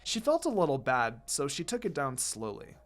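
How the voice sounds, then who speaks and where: A man's voice: fairly high pitch, huge pitch swings, normal speed. A man voicing a hot-tempered teenage ninja girl in an emphatic, exasperated way for a fan fiction reading. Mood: furious. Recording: music behind the voice.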